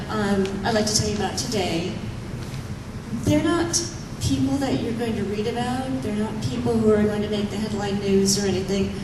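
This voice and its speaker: A woman with a low voice, chatting through anecdotes to an audience in a room and laughing often as she talks. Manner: chatty, laughs often while telling stories. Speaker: newspaper writer giving a talk. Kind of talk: casual anecdotal speech to an audience. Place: event room. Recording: echoey room, from a distance, noisy.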